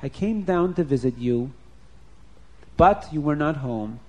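A man voicing a gentle old father who speaks plainly. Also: on the high side, expressive, unhurried, lo-fi sound.

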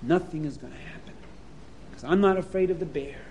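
A man voicing a calm, wise rabbi in a children's story, speaking in a reassuring way. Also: fairly high pitch, fairly quick, gravelly, lo-fi sound, a little hiss or hum.

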